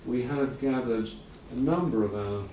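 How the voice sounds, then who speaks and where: A man's voice: mid-range voice, moderate pace, warm tone, slight background noise. A man hosting a conference, talking informally in a rambling, self-correcting way with dry asides. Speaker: conference host. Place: lecture hall. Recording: roomy hall, voice from a distance.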